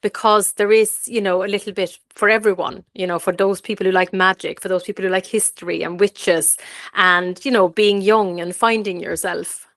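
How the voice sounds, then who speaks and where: A woman from Sweden who speaks English as a second language gives casual interview answers. Her voice sits at a middle pitch and her talk is chatty, often doubling back on itself. The sound is dry, with little room in it. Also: fairly even intonation, moderate pace, a bit bright, no room sound. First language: Swedish. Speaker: interview guest, fiction author.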